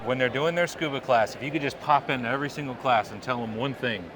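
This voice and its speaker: A man hosting a podcast, asking questions in a quick, chatty, joking way. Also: medium pitch, background noise. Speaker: podcast host. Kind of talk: casual interview. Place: busy expo booth floor.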